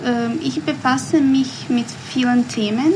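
A young woman with a Bosnian accent speaks slowly and carefully in her second language, pausing often and filling gaps as she searches for words. Her voice sits fairly high. Steady background noise runs clearly under the recording. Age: 20s. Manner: careful, hesitant speech with many pauses and fillers. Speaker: guest, poet. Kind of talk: podcast interview.

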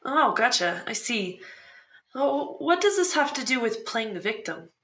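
Woman acting a bubbly host, high lively voice, bright, inquisitive. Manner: inquisitive, bubbly, quick questions. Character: curious, playful young woman show host. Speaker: podcast host. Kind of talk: scripted podcast drama dialogue.

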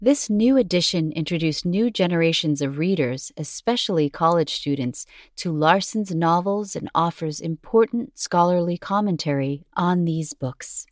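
A woman reading a scripted podcast narration as its narrator, with measured, emphatic phrasing. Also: lowish voice, animated, no echo, clean studio sound. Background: music under the voice.

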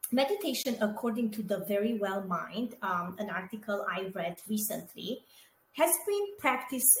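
Chatty woman in an echoey room. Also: medium pitch. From Romania. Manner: chatty, lots of fillers and asides to listeners. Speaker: podcast co-host. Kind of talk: casual talk to listeners. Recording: echoey room with faint background noise.

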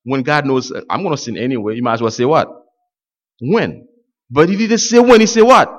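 A man's voice: animated, fairly quick. A man preaching a sermon, a native French speaker talking in English and getting his congregation to fill in his words. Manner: swings from loud high exclamations to low quiet asides. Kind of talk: call-and-response sermon. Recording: dull lo-fi sound.

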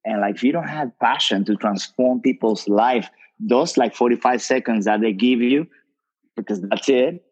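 A man's voice: mid-range voice, normal speed. A man speaks earnestly on a panel, circling his point with lots of "you know" and "like". His voice sits in a middle register, and the call audio is dull and lo-fi. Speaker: rum brand ambassador. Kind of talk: panel discussion.

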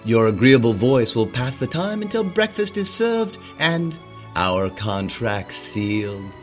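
Villainous gentleman bridegroom, radio fairy tale. Suave, exaggerated, unhurried, hugely varied pitch. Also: sing-song, faint music behind. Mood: courtly, fond. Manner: courtly, archaic, exaggerated delivery.

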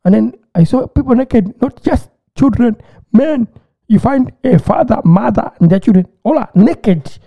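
Animated man on a tinny line. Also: very high voice, normal speed, gravelly. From Uganda. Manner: animated storyteller, repeats words, acts out his own reactions. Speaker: podcast co-host. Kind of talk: casual chat.

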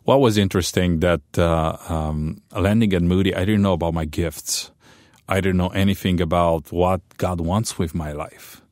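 Man, Romanian accent, middle-aged, very low voice, loud and animated, dry studio. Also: moderate pace, dry recording. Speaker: pastor, guest. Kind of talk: conversational radio interview.

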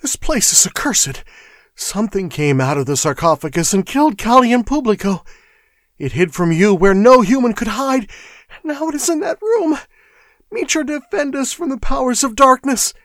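A panicked male clerk in a storybook reading, his voice shrill and cracking as he babbles about curses. He shoots up high, far above an ordinary speaking voice. The recording is dry and close. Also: expressive, moderate pace, fairly bright tone, dry recording. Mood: hysterical. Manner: frantic, panicked pleading. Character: hysterical terrified male temple clerk.

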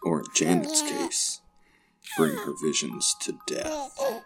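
A man's voice: very high-pitched, wildly swooping pitch, takes it slowly, creaky, over loud music. A man narrating a scripted horror story in an audio play.

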